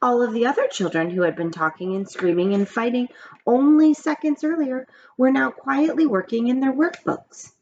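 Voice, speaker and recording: A woman reading a children's story aloud in an expressive storytelling style. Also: a little high, normal speed. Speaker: story reader. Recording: dull, muffled, background noise.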